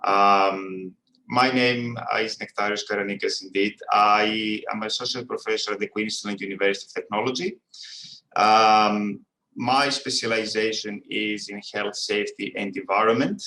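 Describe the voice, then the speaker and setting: A middle-aged man with a Greek accent giving an informal autobiographical presentation as a guest academic speaker, haltingly, with many ums. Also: lowish voice, steady tone, bright, forceful tone. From Greece. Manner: hesitant, halting delivery with frequent ums. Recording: roomy, echoing sound.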